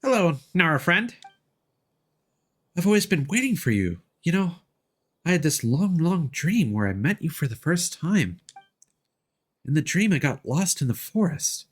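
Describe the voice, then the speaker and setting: A man voicing a gentle, dreamy young forest girl, reading game dialogue earnestly in character. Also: fairly high pitch, very animated, measured pace, pauses often, breathy edge, pitched above the performer's own voice, exaggerated voice. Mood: wistful, earnest.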